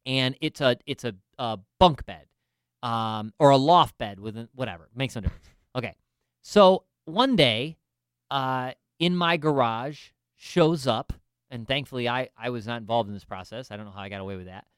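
A man's voice: mid-range voice, clear, resonant tone, no room sound, studio quality. A man in finance telling a self-deprecating story in casual chat, with lots of restarts and asides. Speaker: finance professional.

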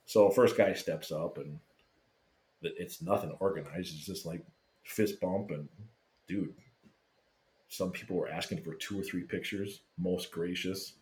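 A man casually telling a lively fan story, swearing freely and acting out what people said. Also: sits low, a little slow, long pauses, slight background noise. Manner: animated, swearing, acts out quotes. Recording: roomy echo.

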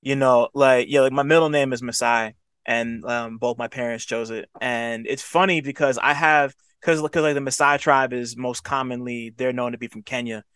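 A man with a smooth, resonant voice talks in a relaxed, halting way over a video call. The sound is dry and close. He pauses often on fillers. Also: medium pitch, no room sound. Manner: laid-back, hesitant, many fillers. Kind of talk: casual chat.

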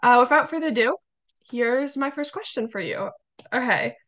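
A young woman hosting a podcast interview, her voice slightly hoarse, chatting casually with many fillers. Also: sits high, animated, moderate pace. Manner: chatty, warm hesitations with fillers. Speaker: podcast host.

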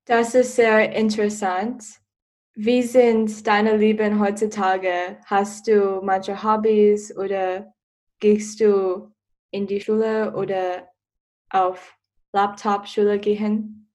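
Slow, flat teen girl. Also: medium pitch. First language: Chinese. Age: teens. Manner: careful, asks questions. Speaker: student podcaster. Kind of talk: casual chat.

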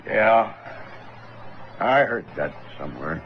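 An actor playing a fearful, broken Old West gunfighter with an American accent and halting, folksy speech, in a 1950s radio western. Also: male voice, fairly low pitch, big pitch swings. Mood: bitter resignation. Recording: muffled old radio recording, faint noise.

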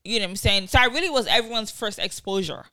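Lively Nigerian woman chatting. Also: medium pitch, quick pace, low-quality recording. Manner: animated, swearing, slangy, jumps between loud and hushed. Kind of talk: casual chat.

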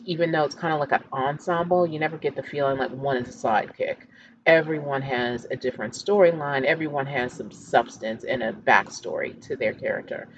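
Low-voiced woman hosting a podcast, noisy background. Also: muffled recording. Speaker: podcast host. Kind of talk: podcast introduction, chatty with some reading from notes.

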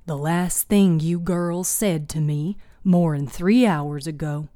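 A woman's voice: sits low, takes it slowly, warm tone, no echo. A dry, world-weary older country woman, as a female narrator puts her on, speaks. She is a plainspoken storybook healer who takes her time over every folksy line, recorded close and dry. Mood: sardonic.

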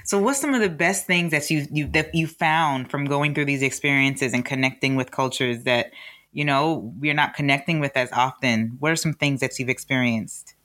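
A woman hosts a podcast interview, speaking in a friendly, encouraging way as she introduces and questions her guest. Her voice sits very low for a woman. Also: moderate pace, a bit bright. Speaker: podcast host.

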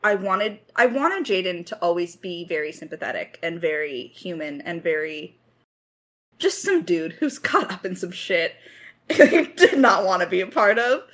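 A woman's voice: lowish voice, sing-song, moderate pace, fairly bright tone. A woman chatting casually in a creator Q&A, effusive and playfully dramatic, rambling along in slangy speech. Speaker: lead writer and voice actor of an audio drama.

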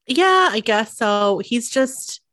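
A woman's voice: fairly high pitch, slow pace, no echo. Casual woman co-host, dry close sound. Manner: relaxed storytelling with many fillers. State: sniffly from cold. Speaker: podcast co-host. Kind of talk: casual chat.

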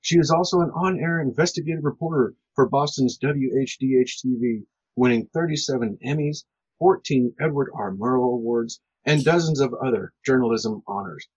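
A man's voice: medium pitch, normal speed, slightly rough, lo-fi sound. A man hosting a podcast in a chatty, enthusiastic way. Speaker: podcast host.

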